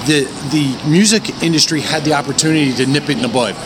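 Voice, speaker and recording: A loud, boisterous older man co-hosting a casual chat, blunt and in-your-face, recorded outdoors at a convention with noise behind him. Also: a little high, fast. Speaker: podcast co-host.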